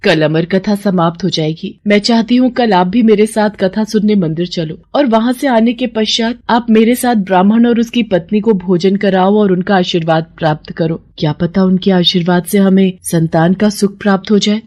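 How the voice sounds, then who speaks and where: Female voice actor for a humble village wife, cartoon moral tale: earnest, respectful, beseeching, mid-pitched. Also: moderate pace, hardly any pauses, darkish tone, lightly acted. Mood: hopeful. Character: humble, devout, pleading young village wife. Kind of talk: Hindi moral-story cartoon voice acting.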